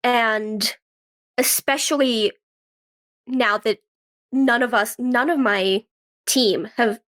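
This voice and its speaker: Female podcast guest, slightly high voice, informal and reflective, conversational delivery. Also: slow, fairly bright tone. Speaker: podcast guest, writer and actress. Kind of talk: casual interview conversation.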